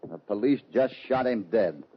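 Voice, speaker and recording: An actor playing a calm, commanding, wry American cowboy hero in an old-time radio drama. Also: male voice, fairly low pitch, normal speed, lightly acted. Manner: steady, authoritative, dry. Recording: dull, hissy old radio recording.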